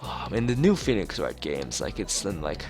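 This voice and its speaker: Deep-voiced man reading a game aloud. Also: huge pitch swings, moderate pace, darkish tone, faint music behind. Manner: stumbles over read lines and rambles in asides. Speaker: streamer playing and reading a visual novel. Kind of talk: let's play read-aloud with casual asides.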